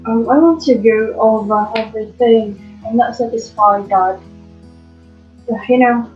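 A woman's voice: a little high, measured pace, darkish tone, soft background music, lightly acted. A young woman playing a condescending male county attorney in an amateur stage drama, hesitating with frequent ums. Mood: unsatisfied. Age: young adult. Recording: echoey, dull webcam audio.

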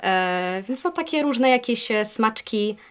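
Young woman recalling things haltingly. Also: a little high, lively intonation, clear, resonant tone. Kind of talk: informal personal recollection.

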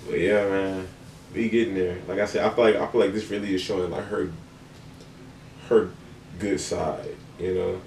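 A man in his twenties hosting a reaction video, recapping in a relaxed, meandering way with many fillers, recorded in a small indoor room with a webcam. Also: low-pitched, normal speed, creaky, echoey room, far from the mic, a little hiss or hum. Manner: relaxed, meandering, lots of fillers and "you know". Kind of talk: casual rambling recap.

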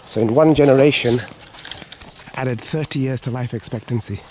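A man's voice: mid-range voice, moderate pace, a little mellow. A man from Sri Lanka giving casual, chatty walking-tour commentary outdoors into a handheld camera, often repeating himself. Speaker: vlogger. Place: outdoors on resort garden paths. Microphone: handheld camera microphone, speaker behind camera. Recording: outdoor handheld camera, clear background noise.

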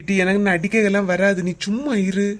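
A gruff, teasing middle-aged village husband, voiced by a woman in a cartoon comedy, talking in a Kanyakumari Tamil accent. He scolds and banters at a middling pitch, brushing off his wife's requests. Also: fairly even intonation, a little fast, close to an everyday voice.

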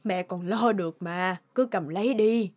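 A woman voicing a humble, self-sacrificing elderly mother in an animated morality tale, gentle and a little hesitant. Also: mid-range voice, a little slow, close to an everyday voice. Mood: apologetic. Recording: music behind the voice.

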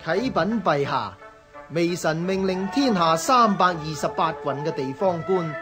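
An actor playing an eager, flattering imperial official in a radio drama, obsequious, with a Hong Kong Cantonese accent. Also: male voice, sits high, measured pace, music in the background.

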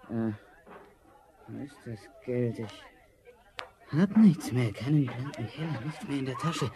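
An actor playing a gullible, desperate young charcoal burner, hesitant and excitable, in a fairy-tale radio play. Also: male voice, mid-range voice, natural voice.

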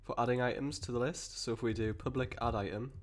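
A man with a cold and a croaky voice, explaining code step by step in a rambling way with many fillers and restarts. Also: medium pitch, fairly even intonation, fast talker. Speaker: programming tutor. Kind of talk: screen-recorded coding tutorial.

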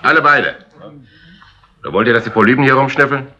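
An actor playing a cocky, bossy bar crook with slangy bluster in a 1960s crime radio play. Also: male voice, mid-range voice, fast, bright, forceful tone, natural voice. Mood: blustering, irritated. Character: cocky small-time crook and boss of a shady bar.

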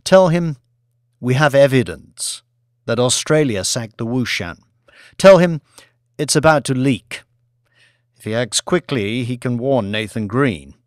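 Man playing a commanding executive, lively pitch. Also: a little slow, long pauses, no room sound, clean studio sound, close to an everyday voice. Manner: insistent, decisive, giving orders. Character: commanding, coolly scheming male executive newly in power.

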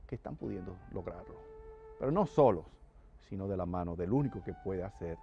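An older man hosts a faith-centered studio talk show, his voice low and dark in tone. He speaks earnestly and warmly welcomes the audience, recorded close on a lapel mic. Faint music plays beneath him. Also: dull audio. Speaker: host. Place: TV studio set, seated in armchair.

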